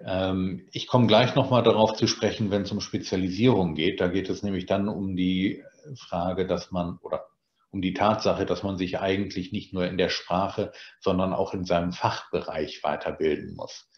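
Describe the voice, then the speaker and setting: A man's voice: low-pitched, fairly even intonation, normal speed. A middle-aged bilingual man, raised in Germany and England, presenting an online lecture from his home study with many "äh" fillers. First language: German and English, bilingual. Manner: explains slide points, frequent "äh" fillers. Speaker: translator giving a presentation. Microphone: webcam on a video call. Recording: roomy, dull lo-fi sound.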